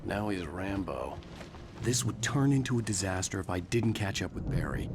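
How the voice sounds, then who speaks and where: A male actor playing a driven writer obsessed with battling dark forces, in video game dialogue and narration. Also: low-pitched, animated, moderate pace, noisy background. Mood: subdued narration.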